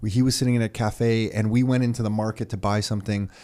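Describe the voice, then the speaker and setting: A man's voice: lowish voice, steady tone, fast, mellow. A Canadian man co-hosting a podcast, telling a story in a rambling, self-correcting way and laughing as he goes. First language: Croatian. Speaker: podcast co-host. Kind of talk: casual storytelling chat.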